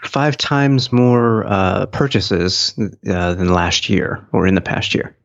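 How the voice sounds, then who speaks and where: A man's voice: low-pitched, slow, creaky, noisy background. A man co-hosting a tech podcast in casual conversation, on a slightly dull, lo-fi track. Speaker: podcast co-host.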